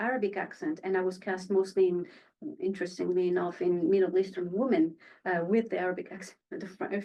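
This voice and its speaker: A woman from France with a French accent, an actress telling stories in a podcast interview, chatty and animated with many restarts. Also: mid-range voice, dull audio. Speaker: actress guest.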